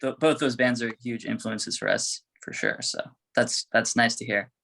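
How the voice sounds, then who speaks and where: A man's voice: mid-range voice, steady tone, normal speed, gravelly, pushed and bright. A man speaking casually and conversationally as a band member being interviewed. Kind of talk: casual chat.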